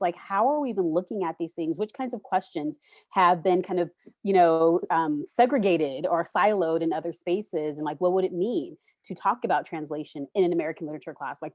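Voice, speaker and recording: A woman's voice: lowish voice, darkish tone, no echo. A woman college instructor on a video call, thinking aloud and asking questions, with many fillers. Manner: thoughtful, asks questions, filler-heavy. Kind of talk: workshop discussion over video call.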